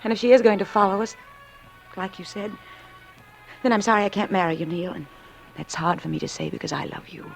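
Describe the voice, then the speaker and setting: An actress playing a cool, coaxing, scheming young girlfriend in an old radio thriller, softly persuasive and calculating. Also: medium pitch, fairly even intonation, a little fast, gravelly, lightly acted. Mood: coaxing, tender. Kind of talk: 1940s-50s radio thriller acting. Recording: vintage radio drama, music behind.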